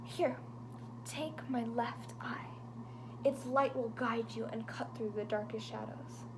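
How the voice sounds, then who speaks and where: A kind and wise sun god speaks low and hushed, his words sometimes dropping to a whisper, as a woman reads him aloud from a storybook. His voice sits fairly high and carries a faint hum behind it. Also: steady tone, slow. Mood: gentle, grave.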